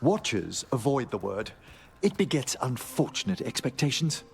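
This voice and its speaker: An actor playing a courteous, scholarly gentleman necromancer in a fantasy game, with formal, genteel phrasing. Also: medium pitch, big pitch swings, normal speed, vocal fry, slight background noise. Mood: genteel, musing.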